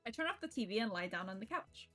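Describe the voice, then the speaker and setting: A woman streamer reading visual novel narration aloud in a playful, expressive storytelling way, with casual asides. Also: mid-range voice, fast. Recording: music behind the voice.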